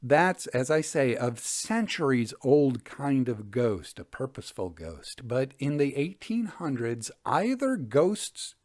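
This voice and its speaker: Man storyteller with lively, swooping pitch. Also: slow pace, hardly any pauses. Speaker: podcast host and storyteller. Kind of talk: reading an old ghost story aloud, with commentary.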